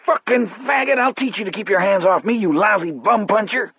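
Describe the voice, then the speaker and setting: A man voicing a vicious, hot-headed young prison guard in an audiobook, snarling and shouting abuse. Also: high voice, a little fast, slightly rough, no room sound, dull audio, voice pushed up. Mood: furious threatening. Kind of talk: audiobook character voice.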